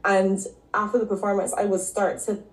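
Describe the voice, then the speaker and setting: A woman from Barbados, a podcast guest and health coach, telling her story in a relaxed, casual way with frequent ums and likes. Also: medium pitch, moderate pace. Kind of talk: casual interview talk. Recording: echoey room.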